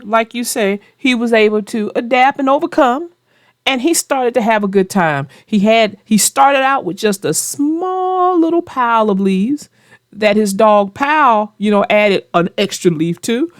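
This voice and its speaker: A woman hosting a children's read-aloud show, chatting about a book with young readers in deliberate, emphatic phrases. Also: a little high, animated, no echo, studio quality.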